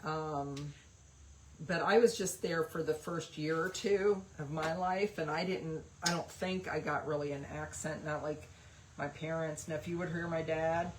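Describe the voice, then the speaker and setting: A woman's voice: deep voice, a little hiss or hum, low-quality recording. A woman hosting a craft livestream, chatting casually and rambling with lots of fillers while she paints. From West Virginia, USA.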